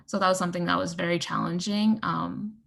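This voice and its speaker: Gravelly-voiced woman panel guest. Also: on the low side, steady tone, a bit bright. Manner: measured, explanatory, with fillers. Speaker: panel guest, communications director. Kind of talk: casual panel talk.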